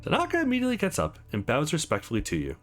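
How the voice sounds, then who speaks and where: A man's voice: a little high, racing, faint music behind. A man hosting a let's play, reading a visual novel aloud expressively and adding offhand comments. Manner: expressive, acts out the text as he reads.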